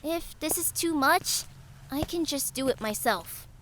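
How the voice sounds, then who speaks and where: An actor voicing a small childlike wax creature in an audio drama, earnest and timid, with hesitant, halting speech. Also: high voice, expressive, fairly bright tone, slight background noise, poor audio. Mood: resigned. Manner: hesitant, halting, searching for words.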